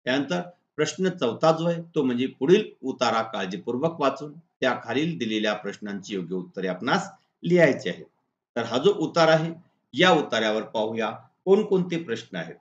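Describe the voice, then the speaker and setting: A man teaches as he reads out model exam answers in a deliberate, instructional way, point by point. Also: mid-range voice, lively intonation. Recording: echoey room, dull lo-fi sound.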